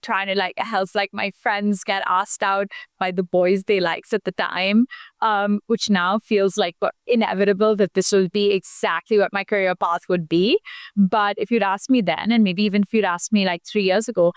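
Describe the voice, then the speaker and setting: Brief: young woman from India, outgoing podcast guest, smooth clear voice, dry close sound. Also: medium pitch, dry recording. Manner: chatty, many fillers, outgoing. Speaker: podcast guest, founder and matchmaker. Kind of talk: casual interview talk.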